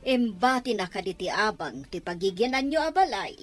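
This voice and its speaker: A woman's voice: medium pitch, very animated, moderate pace, soft background music, close to an everyday voice. A woman with a Filipino accent acting an insistent, nagging neighbour in an audio drama. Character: insistent younger neighbour woman nagging about debts and rent.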